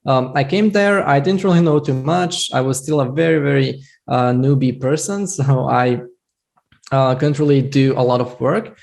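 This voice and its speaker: A man's voice: medium pitch, steady tone, moderate pace, gravelly, darkish tone. Young man, rambling. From Croatia. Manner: rambling with many fillers and restarts. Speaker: software developer guest. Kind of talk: casual storytelling interview. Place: small home room. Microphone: headset.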